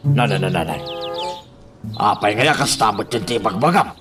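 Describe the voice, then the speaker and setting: A man's voice: lowish voice, animated, normal speed, over music, natural voice. Man acting a goofy, swooping lovestruck suitor. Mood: lovestruck, clowning. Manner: clownish, exclamatory. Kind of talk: comic Ilocano radio drama acting.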